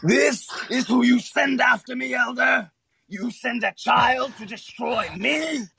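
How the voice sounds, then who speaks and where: An actor playing a menacing, sadistic alien warlord in an audio drama, with a gravelly, raspy voice and a slow, grandiose, taunting delivery. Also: male voice, very high voice, background noise, heavily acted. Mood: enraged.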